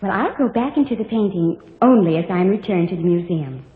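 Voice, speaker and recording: An actress playing a gentle, composed young woman, polite and precise, in an old radio drama. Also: medium pitch, animated, a little fast, lightly acted. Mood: gently admonishing. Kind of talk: 1940s radio drama acting. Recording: tinny, echoing vintage radio recording.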